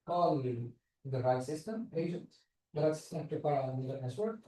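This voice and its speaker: A man from Algeria, a bootcamp instructor explaining steps casually in halting English and confirming often. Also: fairly high pitch, animated, warm tone, a little hiss or hum. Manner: halting, confirms with repeated "exactly". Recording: roomy and distant from the mic.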